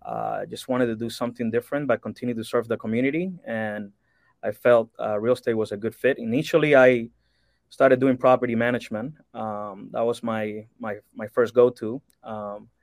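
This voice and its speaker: A man's voice: medium pitch, fairly even intonation, normal speed, gravelly, no echo. Cuban-born guy on a podcast, lots of uh and restarts, dry close mic. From Cuba. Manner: earnest, many fillers and restarts. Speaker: guest, real estate broker. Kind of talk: podcast interview.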